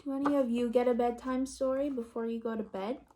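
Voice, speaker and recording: A woman storyteller with a fairly high voice reads a children's picture book aloud. She is expressive and speaks directly to young listeners in asides and questions. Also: fairly quick, background noise.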